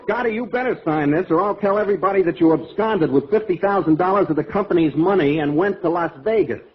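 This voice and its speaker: A man's voice: high voice, fast, natural voice. A man playing a cheeky, meddling sidekick who needles breezily in an old radio comedy. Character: cheeky, meddling male sidekick, wisecracking troublemaker. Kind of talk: 1940s radio comedy acting. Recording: muffled old radio broadcast with audience.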